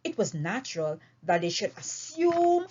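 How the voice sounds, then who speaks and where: A woman reading a story aloud in an expressive, deliberate and emphatic way. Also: mid-range voice, breathy edge. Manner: emphatic, deliberate phrasing with dramatic swings. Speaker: audiobook reader. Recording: dull, lo-fi recording.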